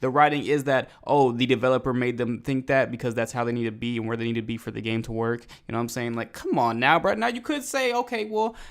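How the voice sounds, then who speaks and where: A young American man giving casual reaction commentary, animated and emphatic, rambling in slang. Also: mid-range voice, fast, few pauses. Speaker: reaction video host. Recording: faint music behind the voice.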